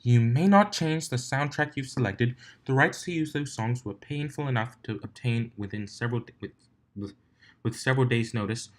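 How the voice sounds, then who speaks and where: A man's voice: mid-range voice, moderate pace, lightly acted. A man voicing a strict, formal female pony announcing competition rules in a stern, clipped, dryly menacing manner for a fan story reading.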